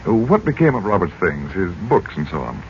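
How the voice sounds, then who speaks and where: Low-voiced, grave mysterious magician. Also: male voice, big pitch swings, fairly quick, gravelly, exaggerated voice. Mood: calm, probing. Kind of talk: 1940s radio drama acting. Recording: muffled, noisy old radio recording.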